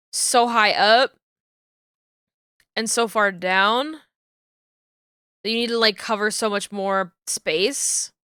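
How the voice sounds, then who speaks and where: A woman on a live stream thinking aloud as she explains her rigging steps to chat, her voice going hoarse. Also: medium pitch, pushed and bright, no echo, clean studio sound. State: losing her voice. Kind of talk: casual live-stream talk while working.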